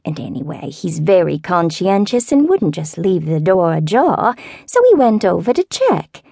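A woman voicing a fussy elderly neighbor with a Southern accent, who talks in a slow, meandering, wavering falsetto. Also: sing-song, creaky, mellow, no echo, clean studio sound, heavily acted. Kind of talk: audiobook character voice.

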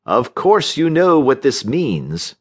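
A man voicing a calm, unctuous division chief doctor in a storybook reading, soothing and patronizing. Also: a little high, pitched above the performer's own voice, full character voice. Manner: soothing, oily, patronizing, drawn-out questioning hmms. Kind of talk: audiobook character voice in a comic story.

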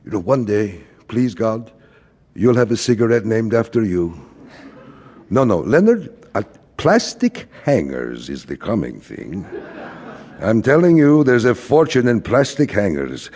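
Wistful rambling father, very animated. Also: on the low side, unhurried, full character voice. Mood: wheedling, musing. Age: middle-aged. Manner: rhetorical, repetitive, philosophizing. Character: wistful, rambling immigrant father, a frustrated commercial traveler. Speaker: actor in a stage-style audio drama. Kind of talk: theatrical audio drama acting. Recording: reverberant hall stage recording.

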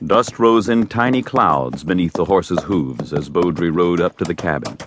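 A man's voice: low voice, animated, moderate pace, background noise. A man narrating a scripted Old West tale as a grave storyteller. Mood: measured.

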